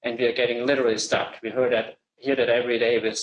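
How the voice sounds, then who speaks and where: Middle-aged austrian guy pitching software, deliberate and steady, roomy echo around him. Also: dull audio. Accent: Austrian German. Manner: measured, slows to stress key phrases. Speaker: company founder presenting. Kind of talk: business presentation.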